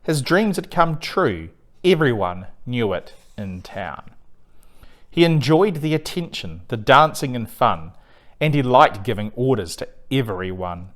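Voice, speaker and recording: A man reading a rhyming children's story aloud as a storyteller, in an expressive, theatrical and deliberate way. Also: mid-range voice. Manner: animated, deliberate, theatrical read-aloud delivery. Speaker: storyteller reading a picture book.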